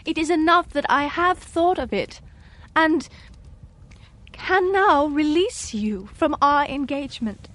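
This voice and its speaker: An actress playing a heartbroken but resolute young fiancée, earnest and measured, in a radio drama. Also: female voice, sits high, lively intonation, a bit bright, heavily acted. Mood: sad, resolute.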